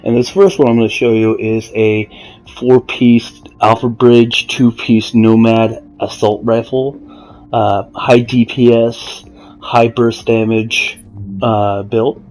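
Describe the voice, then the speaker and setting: A man giving a casual, explanatory game walkthrough in a measured, somewhat halting way. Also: medium pitch, little pitch movement, darkish tone, rough recording. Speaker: gamer presenting a character build guide. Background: music.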